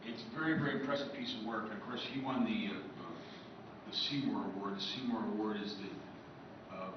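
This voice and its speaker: A man's voice: on the low side, normal speed. Man lecturing in an echoey hall. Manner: rambling, listing items, friendly asides to the audience. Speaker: author giving a convention talk. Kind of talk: informal lecture to a live audience. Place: convention meeting room. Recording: distant echoey room mic, muffled with background hiss.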